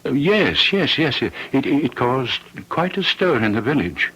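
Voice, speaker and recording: An actor playing a fussy, stern village priest, husky and hesitant, stammering and admonishing in radio drama style. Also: male voice, mid-range voice, big pitch swings, unhurried, a bit bright, dull audio, full character voice. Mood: flustered. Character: fussy, stern village priest hearing confession, easily flustered. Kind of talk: old-time radio drama acting.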